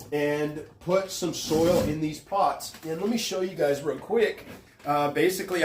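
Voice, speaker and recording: A man hosting a gardening vlog, talking casually with many ums and uhs, a little stuffy from a cold, recorded on a phone on a tripod. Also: a little high. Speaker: gardening channel host. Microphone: phone camera on a tripod.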